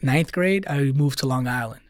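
A man's voice: medium pitch, moderate pace, no echo, studio quality. A man, a social media creator guesting on a podcast who talks in a loose, rambling way full of likes and dudes. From New York. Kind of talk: casual podcast chat.

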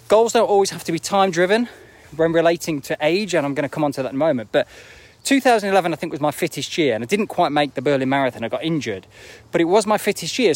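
Lively, higher-voiced man in his forties. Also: a little hiss or hum. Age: 40s. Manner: conversational, reflective, checks in with rhetorical questions. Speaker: running coach hosting a live video. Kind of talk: casual motivational talk to viewers, live video. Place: outdoors, walking uphill near an airport.

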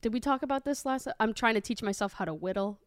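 A woman's voice: a little high, fast talker. A young woman co-hosting a podcast, teasing and self-deprecating in casual comedic chat. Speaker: podcast co-host.

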